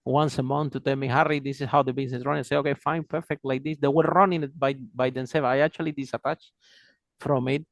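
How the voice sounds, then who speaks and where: Podcast host with a dry close sound. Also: male voice, medium pitch, level tone, fast, dry recording. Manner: encouraging listener, frequent "mm-hmm" backchannels. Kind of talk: podcast interview.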